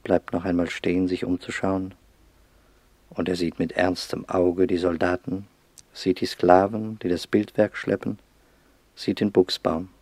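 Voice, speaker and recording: An actor as the sober, detached narrator of a radio play, reading literary text in a measured, grave manner. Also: male voice, low-pitched, flat delivery, darkish tone, no echo.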